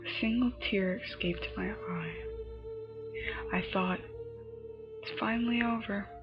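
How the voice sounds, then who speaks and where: A woman narrating a scripted horror story in a dramatic manner, her phrases often dropping into a breathy hush. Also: mid-range voice, steady tone, takes it slowly. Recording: muffled lo-fi home recording, music behind. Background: background music.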